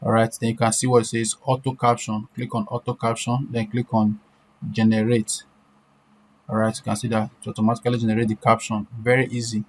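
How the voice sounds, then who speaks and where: A man's voice: on the low side, level tone, brisk. A man presenting an informal step-by-step screen-share tutorial, repeating himself and often checking in with short questions. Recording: clear background noise.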